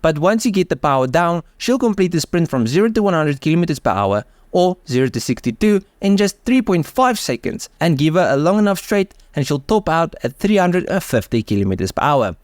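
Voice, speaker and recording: A man's voice: on the high side, very animated, brisk, hardly any pauses, no room sound, clean studio sound. A man with a South African accent narrating a car video, enthusiastic and mixing scripted lines with offhand asides. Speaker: car channel host.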